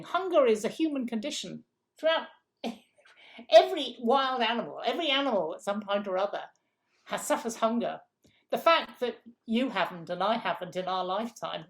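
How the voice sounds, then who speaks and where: A woman's voice: medium pitch, vocal fry. An older woman with grandchildren, a guest in an interview, answering in a forthright, emphatic way and often repeating herself as she thinks aloud.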